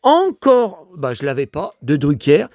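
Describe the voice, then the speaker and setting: An older man from France with a hoarse voice, grumbling and talking to himself as he shows his latest finds to viewers in a casual monologue. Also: fairly high pitch, wildly swooping pitch, measured pace, a little mellow, no echo. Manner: grumbling, exasperated, talks to himself. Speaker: vlogger showing flea-market finds.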